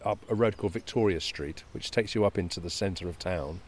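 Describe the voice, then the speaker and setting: A man co-hosting a podcast, reading a novel passage aloud in a measured way, then chatting casually. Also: low voice. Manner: measured storyteller, chatty and quick in talk. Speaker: podcast co-host.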